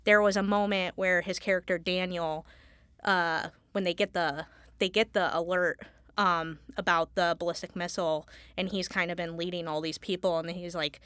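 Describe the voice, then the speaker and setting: A woman speaking as a guest in a casual interview, informal and halting as she thinks aloud. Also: fairly low pitch, steady tone, a bit bright, smooth, no room sound. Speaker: Foley artist, interview guest.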